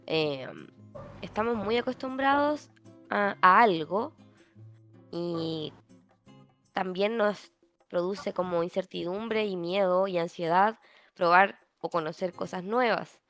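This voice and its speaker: A Chilean woman hosts a relaxed interview at a middle pitch. She wanders through her sentences while reading listener questions, with soft music faint behind her. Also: takes it slowly, pauses often. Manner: rambling, reads audience questions. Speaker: podcast host. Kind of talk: casual interview chat.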